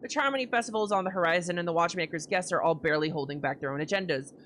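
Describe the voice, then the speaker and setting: A woman's voice: on the low side, very fast, fairly bright tone, slight background noise, lightly acted. A woman voicing a stern, formal family elder, reading game dialogue aloud in an admonishing way with occasional stumbles. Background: game music.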